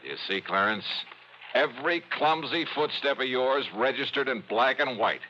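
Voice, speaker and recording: A man's voice: mid-range voice, moderate pace, sharp tone, lightly acted. An actor playing a sardonic, confident American insurance investigator in a hardboiled golden age radio drama, probing as he talks. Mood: sardonic, pressing. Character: wry hardboiled male freelance insurance investigator. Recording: muffled, noisy old radio recording.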